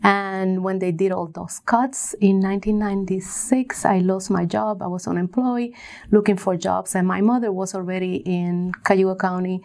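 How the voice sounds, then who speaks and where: A woman from Puerto Rico giving conversational answers as an interview guest, pausing often as she tells her story. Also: mid-range voice, fairly even intonation, a little mellow. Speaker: interview guest, business coach.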